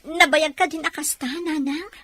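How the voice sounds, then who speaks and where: High, bright, plaintive Ilocano mother. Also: steady tone, moderate pace, dry recording. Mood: alarmed. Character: worried, pleading mother working away from home. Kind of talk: radio drama acting.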